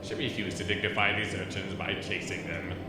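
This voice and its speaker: A man's voice: low-pitched, level tone, fairly quick, lightly acted. A male performer playing a pompous, theatrical villain in an audio drama, with grandiose, scornful, old-fashioned diction. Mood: scornful, smug. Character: pompous, theatrical villain who scoffs at children. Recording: very echoey, loud music underneath.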